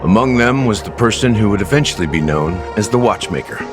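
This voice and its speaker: A low, weathered game character voiced by a man, a sly and grave officer who guides and tells stories. He speaks deliberately and with drama. Music plays clearly behind him. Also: lively intonation, natural voice. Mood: solemn narrating. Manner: measured, dramatic, deliberate storytelling delivery. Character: world-weary male officer, sly, grave storyteller guide. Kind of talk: video game story dialogue. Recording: studio voice over game music. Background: background music.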